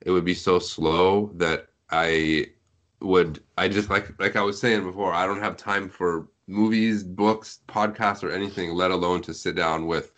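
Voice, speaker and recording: A man's voice: sits low, steady tone, a little slow, fairly bright tone. A man speaking as a podcast guest in casual conversation, explaining things in a talkative way. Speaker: guest on a podcast, web novel translator.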